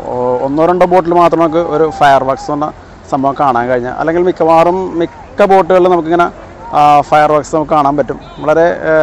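A man narrating a casual vlog, often halting to search for words. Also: fairly high pitch, a little mellow. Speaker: vlogger. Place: outdoors on a seaside breakwater at night. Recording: noisy outdoor phone recording.

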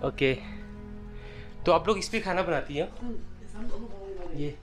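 A man's voice: on the high side, takes it slowly. Curious man chatting, music behind. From Patna, Bihar, India. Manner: curious, informal, asks questions and repeats words. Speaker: travel vlogger. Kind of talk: casual chat. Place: small village house and snowy lanes outside. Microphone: handheld camera at arm's length.

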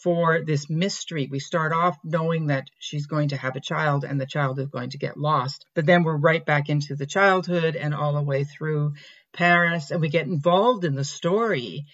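A woman's voice: low voice, steady tone, moderate pace. A woman podcast host chatting enthusiastically with her guest. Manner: enthusiastic, conversational, some fillers. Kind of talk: casual interview chat. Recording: dull lo-fi remote audio.

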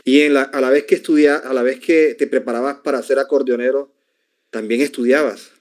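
A man's voice: medium pitch, steady tone, brisk, darkish tone. A man hosts an interview and keeps pressing his guest with follow-up questions. He often sums up the guest's answers in his own words. His voice is picked up by a large studio microphone in a home studio. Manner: probing, rephrasing the guest's answers. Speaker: interview host. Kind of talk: conversational interview.

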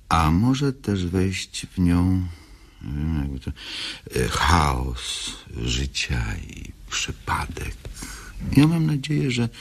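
A man with a husky voice giving a reflective introduction to a play as its writer, halting and deliberate, with long pauses. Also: a bass voice. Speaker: playwright introducing own play.

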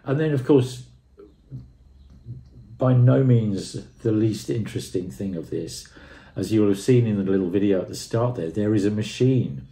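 Male reviewer, 60s. Low, soft-edged mellow voice, emphatic off-the-cuff enthusiasm, recorded at home. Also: animated, normal speed. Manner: effusive, emphatic, repeats phrases. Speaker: audio equipment and music reviewer. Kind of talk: unscripted product review to camera. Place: room at home, indoors. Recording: roomy, echoey indoor sound.

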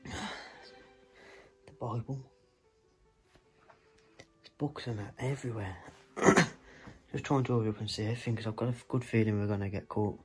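Hushed man exploring a derelict house. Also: on the low side, fairly even intonation, rough recording. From England. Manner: hushed, hurried, talking to viewers as guys. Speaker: urban explorer filming a walkthrough. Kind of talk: casual handheld vlog commentary. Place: inside an abandoned house, indoors. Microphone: handheld phone. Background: background music.

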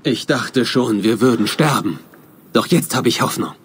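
A man's voice: mid-range voice, animated, normal speed, slightly rough. An actor playing a grateful, devout male villager in a video game. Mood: grateful, relieved. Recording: loud game music behind.

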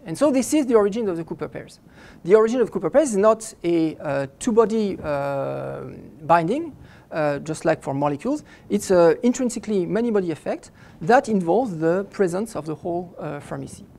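A man's voice: sits high, creaky, a little mellow. A middle-aged man giving a physics lecture in a lecture hall, speaking at a lectern microphone and often stopping and restarting his phrases. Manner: halting, stops and restarts phrases often while explaining. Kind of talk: academic lecture.

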